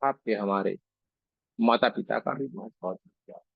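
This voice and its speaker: A man guiding a meditation in a gentle, unhurried, devotional way, giving instructions phrase by phrase with long pauses. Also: mid-range voice, big pitch swings, creaky, no room sound, highs cut off. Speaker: meditation guide and Reiki teacher. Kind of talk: guided meditation, slow spoken instruction with pauses.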